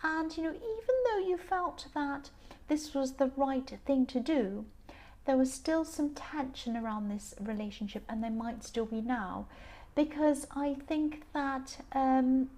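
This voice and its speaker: A woman with a slightly croaky, tired voice giving a casual tarot reading, gentle and meandering with many ums and restarts. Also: sits high, measured pace. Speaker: tarot reader.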